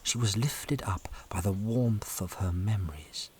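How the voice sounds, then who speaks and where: A man narrating a story dramatically, building suspense with short weighted phrases and pauses. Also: lowish voice, slight background noise. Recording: loud storm ambience behind narration.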